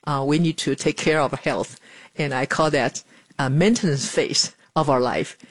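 A woman, speaking plainly and earnestly in an interview, with pauses and fillers. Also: low-pitched, no echo. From Taiwan. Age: 70s. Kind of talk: documentary interview. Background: music.

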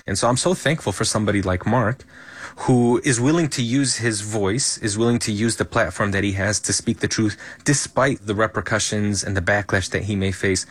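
A man speaks in a low, even voice with an earnest, measured manner. He answers interview questions as an emergency physician. The sound is close and dry. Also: husky, no room sound.

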